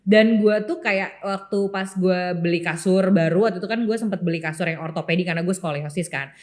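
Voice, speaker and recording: A young woman co-hosting a podcast, chatting in a relaxed, easygoing way and reminiscing about childhood things. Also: lowish voice, a little fast. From Indonesia. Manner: relaxed, many listening murmurs. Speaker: podcast co-host. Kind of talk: casual chat. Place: podcast studio.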